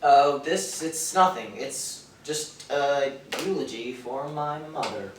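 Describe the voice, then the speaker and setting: A man's voice: mid-range voice, takes it slowly, natural voice. Timid, self-doubting male writer, played by a man, hesitant delivery, stage play, distant noisy pickup. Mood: flustered, evasive. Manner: hesitant, stammering, apologetic. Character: timid, self-deprecating aspiring male scriptwriter, easily flustered. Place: theater stage, distant mic. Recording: echoey stage recorded from afar, noisy.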